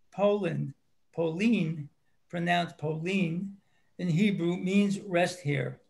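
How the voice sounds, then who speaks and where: A man reading his prepared book review aloud, in a measured, earnest way with small stumbles. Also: sits high, vocal fry.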